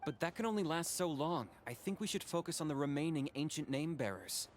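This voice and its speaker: A man's voice: on the high side, expressive, a little fast, a little hiss or hum, lightly acted. A man voicing an earnest young companion. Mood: probing. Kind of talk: video game voice acting.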